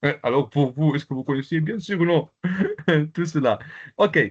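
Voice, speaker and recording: A man from the Comoros whose first language is Arabic, teaching an online class in a halting way, with many fillers and repeated words. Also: a little high, animated, breathy edge. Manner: repetitive, halting, full of fillers. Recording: dull lo-fi online call audio.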